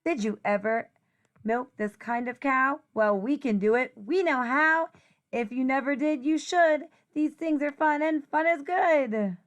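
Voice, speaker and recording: A woman teacher reading a rhyming children's picture book aloud in an expressive, sing-song way. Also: sits high, measured pace.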